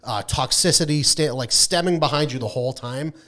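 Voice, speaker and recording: A man's voice: mid-range voice, a little fast, fairly bright tone. A man co-hosting a casual gaming podcast, talking informally and emphatically with plenty of swearing. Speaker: podcast co-host.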